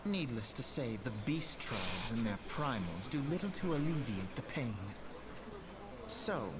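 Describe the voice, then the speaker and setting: A man playing a self-assured young noble brother in a fantasy game, speaking in lofty, measured, formal phrases. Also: medium pitch, big pitch swings, heavy background noise. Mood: grave, lofty, then resolute. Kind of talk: scripted fantasy game cutscene acting.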